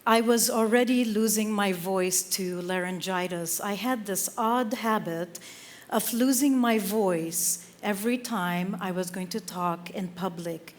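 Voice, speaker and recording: A woman from Lebanon giving an inspirational stage talk, slow and measured with dramatic pauses between phrases. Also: mid-range voice. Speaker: speaker. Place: theater stage.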